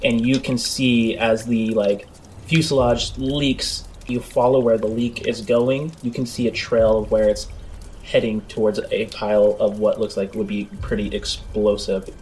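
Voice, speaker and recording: A man's voice: on the low side, level tone, measured pace, a little mellow. A man improvising the narration of a tabletop role-playing game as game master. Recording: clear background noise.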